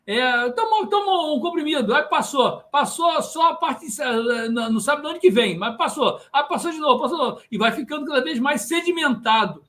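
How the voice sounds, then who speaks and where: Brazilian podcast host, guy w/ a really high voice, animated, keeps asking himself questions. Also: a little fast, few pauses. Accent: Brazilian Portuguese. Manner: animated storyteller, asks himself rhetorical questions. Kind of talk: conversational podcast interview.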